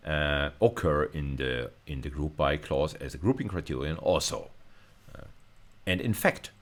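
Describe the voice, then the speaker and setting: A man's voice: extremely low pitch, measured pace. A man lecturing at university level in an informal screencast style, explaining hesitantly and often repeating and rephrasing himself.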